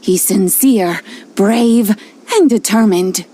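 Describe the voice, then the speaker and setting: A woman playing a kindly, doting old granny in a video game, speaking in a measured, fond and reassuring way. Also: medium pitch, mellow. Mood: fond, proud. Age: older. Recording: music behind the voice.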